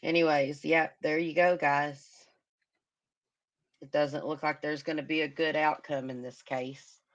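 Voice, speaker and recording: A woman hosting a casual livestream, commenting on a crime case in a hoarse voice as she loses it and humming to herself. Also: sits low, little pitch movement, unhurried, lo-fi sound. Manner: murmuring, sympathetic, hums to herself. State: hoarse, losing her voice. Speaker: livestream host.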